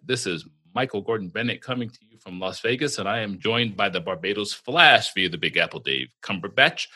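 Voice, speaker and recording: A man's voice: fairly low pitch, bright, forceful tone, slightly rough. A man hosting a casual travel podcast, joking with his co-host and stumbling through restarts and fillers.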